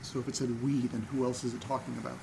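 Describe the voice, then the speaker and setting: Mid-pitched male voice, earnest, questioning, exasperated, horror audio drama played by a man, faint background noise. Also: level tone, fast talker, vocal fry, natural voice. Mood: wary, questioning. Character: earnest, exasperated unemployed man obsessed with investigating.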